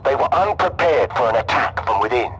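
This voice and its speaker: An actor playing a treacherous, zealous soldier giving a formal report in a game cutscene. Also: male voice, medium pitch, lively intonation, a little fast, fairly bright tone, slight background noise, close to an everyday voice, rough recording.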